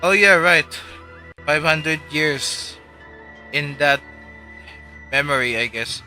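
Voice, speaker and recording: A man doing casual live game commentary in short, reactive remarks. His voice is very bright and forward, his pace is slow, and faint music plays behind him. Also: on the high side. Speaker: game livestreamer.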